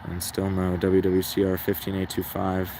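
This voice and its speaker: A man casually thinking out loud as he hosts a hobby livestream, in a low-key, rambling, unhurried way. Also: completely flat delivery, slight background noise. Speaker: livestream host, radio hobbyist. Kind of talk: casual livestream commentary, musing aloud.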